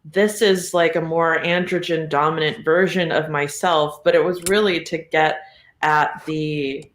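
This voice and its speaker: A podcast guest with a mid-pitched voice, talking casually and reflectively in a meandering, thoughtful way with a readiness to chuckle. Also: fairly even intonation, measured pace, a bit bright. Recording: roomy, echoey sound.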